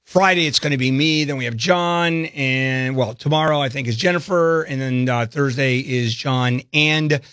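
A man's voice: medium pitch, moderate pace, hardly any pauses, a bit bright, no room sound. A man hosting a talk radio show, chatty and jokey, with frequent fillers.

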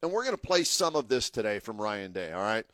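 A man's voice: fairly low pitch, very animated, a little fast, fairly bright tone, no echo. A man co-hosting a sports talk radio show, talking casually and getting emphatic as he argues a point. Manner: chatty, emphatic, gets fired up arguing.

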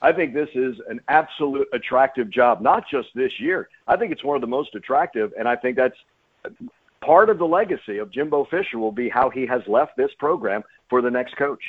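A man's voice: medium pitch, lively intonation, brisk, husky. Male sports radio guest, play-by-play type, emphatic and repetitive for stress, slightly lo-fi sound. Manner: emphatic, repeats phrases for stress. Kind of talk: animated sports radio interview talk.

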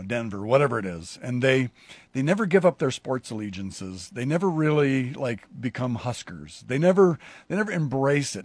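Rambling, halting man hosting a chat. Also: medium pitch, no echo. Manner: rambling, halting, many restarts and fillers. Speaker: pastor and show host. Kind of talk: casual conversation.

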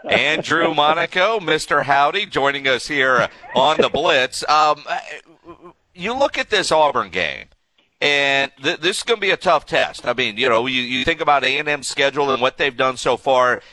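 American guy hosting sports radio, bright punchy voice, casual banter. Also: mid-range voice, expressive, moderate pace, poor audio. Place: radio studio.

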